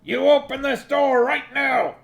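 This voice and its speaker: Nobby, a gravelly, high-pitched blusterer. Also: male voice, fairly even intonation, a little slow, heavily acted. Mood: brash, pushy. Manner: blustering, pushy, bossing people about. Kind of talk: audiobook character voice.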